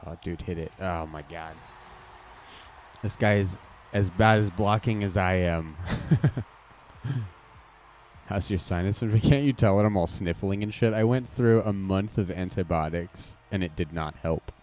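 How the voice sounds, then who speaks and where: A man's voice: sits low, a little slow, darkish tone, a little hiss or hum. A man streaming a game, reacting casually and swearing at the play as it happens. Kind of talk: live gameplay commentary.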